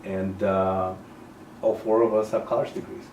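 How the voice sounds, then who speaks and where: A man in his forties from El Salvador speaks in a low, measured way, trailing off softly as he finishes each thought. He sounds a little far from the mic, in an echoey room with a faint hiss behind him. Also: flat delivery. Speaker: high school principal. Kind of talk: casual interview.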